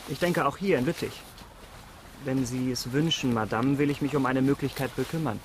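An actor playing a reserved, courteous and orthodox abbé in a radio drama, formal and measured. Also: male voice, mid-range voice, background noise, natural voice. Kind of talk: German radio drama acting.

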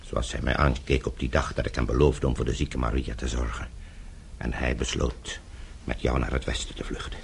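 An actor playing a weary, bitter older politician from behind the Iron Curtain in a radio play, brooding and confessional. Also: male voice, extremely low pitch, flat delivery, normal speed, slight background noise, full character voice. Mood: bitter, anguished. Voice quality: gravelly, husky. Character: weary, bitter older Eastern Bloc politician, guilt-ridden. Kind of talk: radio drama acting.

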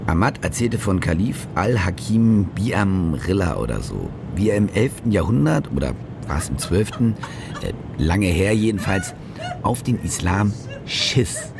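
Cynical low-voiced gravelly war reporter. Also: male voice, sing-song, measured pace, background noise, heavily acted. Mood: dry, musing. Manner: sardonic, self-promoting, casual. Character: cynical, boastful German war reporter who fakes stories. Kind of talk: first-person radio drama narration.

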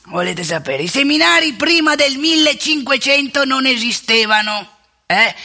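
A woman's voice: medium pitch, pushed and bright, breathy edge, heavily acted. A woman playing a wry, wounded old former seminarian priest in a theatrical monologue, talking colloquially and prodding the audience with questions. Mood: teasing, lecturing. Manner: colloquial, teasing, rhetorical questions and tag words. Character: aging Veneto ex-seminarian priest, wry, wounded, ranting storyteller. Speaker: stage monologue actor.

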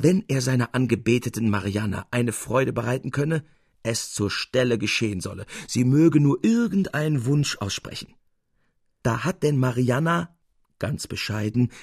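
A man's voice: mid-range voice, darkish tone, slightly rough, no echo, studio quality. A man voicing a confident, amused, scheming painter in a radio story, speaking eloquently.

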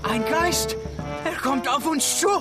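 An actor playing a humble, excitable Indian elephant driver in a radio drama, deferential in manner. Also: male voice, very high-pitched, slow, over loud music. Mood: awestruck, alarmed.

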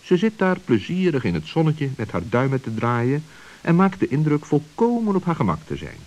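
A man narrating a children's radio play with expressive, storybook delivery. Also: on the high side, moderate pace.

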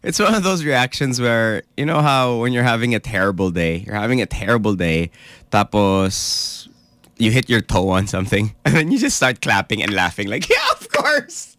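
Lively man from the Philippines, dry sound. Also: fairly low pitch, moderate pace, no room sound. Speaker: radio show co-host. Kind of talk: casual chat mixing English and Tagalog.

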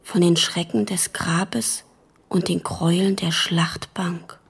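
A woman playing a grave, haunted letter writer, narrating in a hushed, measured way in a radio drama. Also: fairly low pitch, fairly even intonation, creaky, husky, heavily acted. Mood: hushed, troubled.

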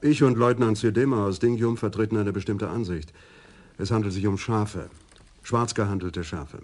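An actor playing a stout, easygoing middle-aged police adjutant in a German radio crime drama, speaking in a dry, matter-of-fact way. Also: male voice, lowish voice, moderate pace, darkish tone, natural voice.

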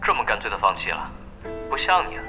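A man's voice: lowish voice, very animated, quick pace. A man in an audio drama playing a guarded veteran pro gamer, courteous and wry. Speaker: voice actor in a radio drama. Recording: music behind the voice.

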